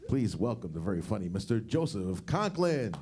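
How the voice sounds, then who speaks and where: A man's voice: on the low side, big pitch swings, normal speed, heavy background noise. A man hosting a comedy show, introducing the next comedian.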